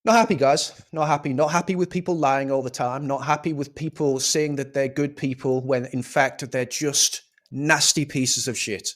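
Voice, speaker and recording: A man's voice: mid-range voice, fairly even intonation, moderate pace, hardly any pauses. A man giving a scornful, swearing rant of political commentary.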